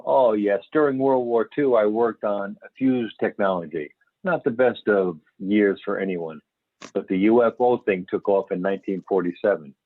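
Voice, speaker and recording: A man's voice: on the low side, normal speed, no echo, natural voice. A man playing an older, folksy and candid astronomer turned UFO investigator, telling his story in an amateur readers theater over a call. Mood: candid, wry. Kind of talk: amateur readers theater, scripted interview reminiscence. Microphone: phone or videoconference call. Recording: band-limited phone-like conference call audio.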